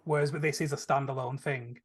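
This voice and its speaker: A man co-hosting a podcast, talking in a measured, conversational way. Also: medium pitch, fairly even intonation, husky. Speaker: film podcast co-host. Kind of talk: casual discussion.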